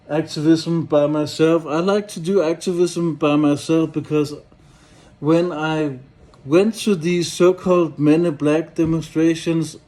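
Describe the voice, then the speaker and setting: A man with a Danish accent giving a rambling, casual monologue, hesitant and halting, with blunt swearing. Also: on the high side, dark tone. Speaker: solo activist and vlogger.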